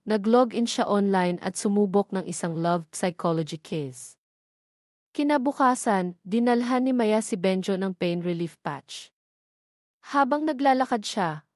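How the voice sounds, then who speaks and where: A woman's voice: mid-range voice, a little mellow, no room sound, clean studio sound. A woman with a Filipino accent reading a scripted romance story as its narrator.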